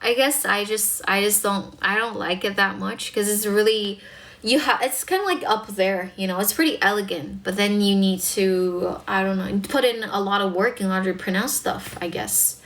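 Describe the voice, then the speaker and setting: A woman's voice: medium pitch, moderate pace, fairly bright tone. Young adult woman, North American accent, first language Chinese. Easygoing, encouraging livestream tutor. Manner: chatty, encouraging, filler-heavy. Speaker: English speaking tutor. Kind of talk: casual livestream Q&A.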